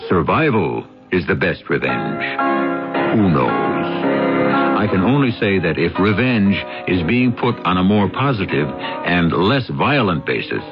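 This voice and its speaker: A man hosting a radio mystery, giving scripted narration in a wry, measured way. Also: deep voice, very animated. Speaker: host of radio mystery show. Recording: dull vintage radio, loud music.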